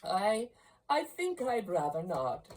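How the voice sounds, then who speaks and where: A woman's voice: medium pitch, big pitch swings, takes it slowly, a little mellow, distant mic, pitched above the performer's own voice. A woman voicing a timid, pleading older man in a storybook reading, hesitant and stammering. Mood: reluctant.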